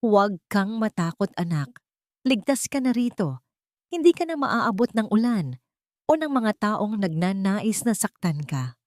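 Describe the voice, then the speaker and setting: A woman's voice: mid-range voice, very animated, measured pace, a little mellow, dry recording, studio quality, pitched above the performer's own voice. A woman voicing a kind, reassuring old farmer in an audio storybook. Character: kind, weathered old male farmer, gently reassuring rescuer.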